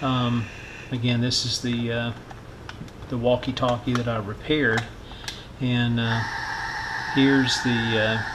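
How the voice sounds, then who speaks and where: A middle-aged man giving an informal hands-on repair walkthrough, halting and unhurried as he thinks aloud. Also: medium pitch, level tone, background noise. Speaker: host of a radio repair channel. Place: indoor electronics workbench.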